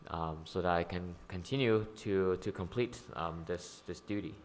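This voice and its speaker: A man interpreting a sermon into English line by line, often hesitating with ums and uhs. His voice sits very low. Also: moderate pace, slight background noise. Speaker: church interpreter.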